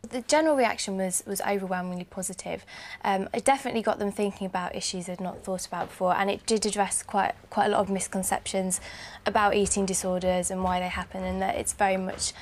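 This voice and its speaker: A woman's voice: mid-range voice, steady tone, fast, slight background noise. A woman talking as a teacher, explaining things in an informative way. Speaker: English teacher. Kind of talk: explanatory interview talk and classroom instruction.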